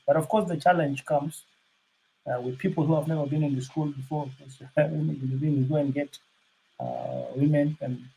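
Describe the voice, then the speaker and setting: Older guy on a panel, kinda high voice, gravelly, lots of uhs and restarts. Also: darkish tone, poor audio. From South Sudan. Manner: halting, many fillers and restarts. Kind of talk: unscripted panel remarks.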